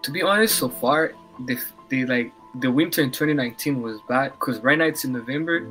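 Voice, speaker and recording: A man's voice: mid-range voice, brisk, a bit bright, faint music behind, low-quality recording. A young man from Rwanda with a Rwandan accent, Kinyarwanda his first language, chatting easily on a headset as a podcast guest, with many fillers. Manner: easygoing, hesitant, many fillers. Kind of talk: casual video-call chat.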